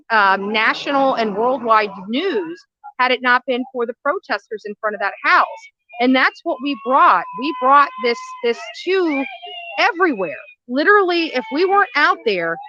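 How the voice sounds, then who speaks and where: Bright high woman, earnest self-praise. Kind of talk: self-promoting interview clip. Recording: dull lo-fi clip audio.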